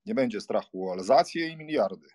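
Worldly baron, acted by a man, lowish voice, bantering, emphatic, audiobook drama, dry sound. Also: expressive, a little fast, mellow, dry recording. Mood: amused, gossipy. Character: worldly, bantering baron, diplomat friend of the count.

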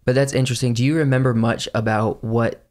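A young man with a slight Southern accent, co-hosting a casual podcast chat in a small studio on a boom-arm mic, relaxed and chatty. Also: quick pace. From Pennsylvania, United States. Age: young adult. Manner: relaxed, chatty, laughs often. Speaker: podcast co-host. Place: small studio room indoors.

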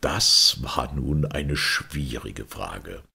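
Husky, low-voiced man reading very expressively. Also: animated, extremely slow, bright, forceful tone. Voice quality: husky, gravelly. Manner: theatrical, dramatic delivery. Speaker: audiobook reader. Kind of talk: expressive storybook narration of verse and prose.

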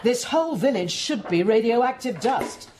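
A woman's voice: a little high, lively intonation, moderate pace, background noise, lightly acted. An actress playing a cool, sardonic and teasing woman from a military base in a radio drama. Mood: wry. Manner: wry, mocking, flirtatious.

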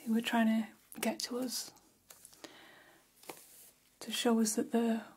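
Breathy whispering vampire hairstylist played by a woman. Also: fairly high pitch, completely flat delivery, poor audio. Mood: gossipy, confiding. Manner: gentle, unhurried, gossipy. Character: gossipy female vampire hairstylist, attentive and chatty. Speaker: ASMR roleplay performer. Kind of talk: whispered ASMR roleplay. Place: small decorated room, indoors.

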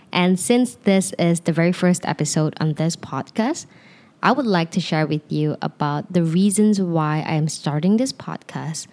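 A woman's voice: on the low side, a little mellow. Young Malaysian woman hosting a casual podcast. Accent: Malaysian English with North American influence. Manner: open, confiding, sometimes rambling. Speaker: podcast host. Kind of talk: casual solo podcast monologue. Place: living room, seated on a sofa. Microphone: handheld microphone.

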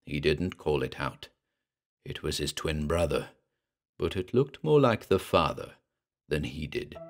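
A man delivering scripted horror drama narration in a grave, measured storytelling manner. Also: a bass voice, very animated. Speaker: narrator and announcer.

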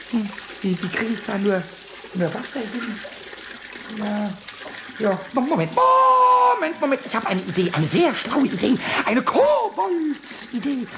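A man playing a small, cheeky, childlike kobold in a radio play, with a squeaky cackling voice, stammered repetitions. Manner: stammering repetitions, childish exclamations, sing-song rhymes. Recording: noisy old radio recording.

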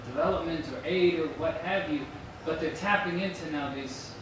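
A man's voice: a little high, moderate pace. A man in the audience putting a discursive, informal question to a speaker, correcting himself as he goes. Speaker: audience member asking a question. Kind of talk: conversational question from the floor. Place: indoor lecture room. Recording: very echoey, noisy room, distant camera mic.

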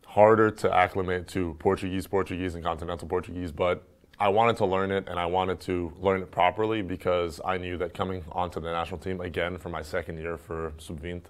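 A young man who grew up in Turkey, a basketball player, answering interview questions in a relaxed, chatty way with lots of fillers, recorded on a lapel mic indoors. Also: deep voice, fairly quick. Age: young adult. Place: indoor room with wood-panel wall.

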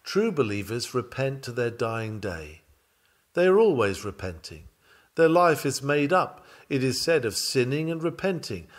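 A man reading a sermon aloud in an earnest, oratorical way, with emphatic, dramatic phrasing. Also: mid-range voice. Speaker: reader of a historical sermon.

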